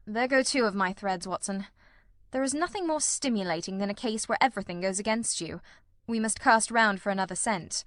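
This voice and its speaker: Woman as a gentleman detective, brisk, commanding, self-assured, mid-pitched, dry close recording, classic mystery audiobook. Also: no echo, lightly acted.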